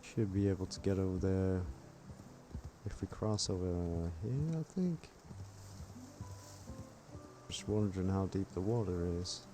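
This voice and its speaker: A man voicing a laid-back frontier rider with a deep, low voice. He teases in a dry, low-key way, with game music playing underneath. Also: measured pace, pauses often, mellow. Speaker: roleplayer. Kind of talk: in-character roleplay chat.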